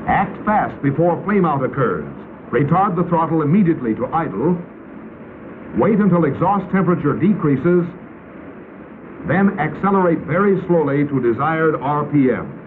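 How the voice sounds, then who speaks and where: An American man narrating a 1950s instructional film in a crisp, authoritative, instructional manner. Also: fairly high pitch, animated, normal speed. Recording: tinny, noisy 1950s film soundtrack.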